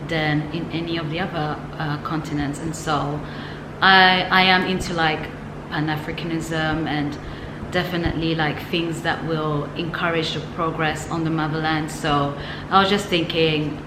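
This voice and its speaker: Low-voiced woman vlogging in an echoey room. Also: fairly even intonation, moderate pace. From from the West, now living in Ghana. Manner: reflective, chatty, laughs lightly, frequent ums and likes. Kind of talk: casual talking-to-camera vlog. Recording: echoey room with noticeable background noise.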